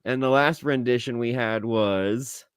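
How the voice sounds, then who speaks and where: A man co-hosting a casual movie review podcast, talking in an excitable, blunt way with plenty of swearing. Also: mid-range voice, a little slow, clean tone, no room sound, clean studio sound. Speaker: podcast co-host.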